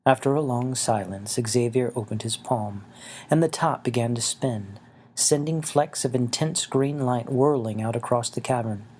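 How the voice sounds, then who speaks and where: A man reading his own fantasy story as scripted audiobook narration, in a measured, unhurried storytelling manner. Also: medium pitch, darkish tone, slight background noise. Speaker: author reading own book.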